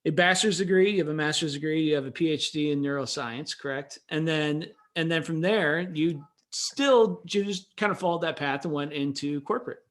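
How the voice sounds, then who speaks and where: A man's voice: on the high side, fairly quick, a bit bright. A man hosting an interview, supportive, recapping his guest's story in his own words. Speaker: host. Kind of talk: podcast interview.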